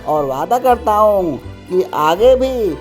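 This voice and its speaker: A woman plays a humble male palace cook in a cartoon. The voice is mid-pitched and slow, respectful and earnest. Soft music sits underneath. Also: lively intonation. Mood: grateful. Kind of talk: Hindi animated story acting.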